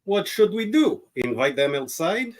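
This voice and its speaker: A man acts out a bold, earnest mutant hero for radio theatre. His voice sits a bit high, with lively, varied pitch. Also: a little slow, exaggerated voice. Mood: challenging. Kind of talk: live radio theatre read of screenplay.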